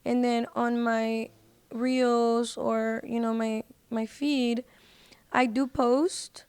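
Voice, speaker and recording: High-voiced woman chatting casually. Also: level tone, drawn-out words, a little mellow, smooth, no room sound. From United States. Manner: informal, slangy, emphatic with "like" and "literally". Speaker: podcast guest, independent singer. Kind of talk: casual chat.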